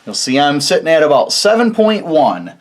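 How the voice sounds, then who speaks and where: A man's voice: on the high side, sing-song, normal speed. A man hosting a casual how-to video, explaining things step by step in an informal, folksy way. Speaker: host of a DIY car electronics video.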